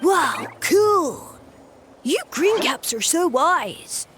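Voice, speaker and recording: A woman voicing a slow-witted little fungus sidekick who gushes with admiration. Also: high-pitched, big pitch swings, over music, heavily acted. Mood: eager. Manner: eager, gushing, slow-witted. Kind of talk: video game voice acting.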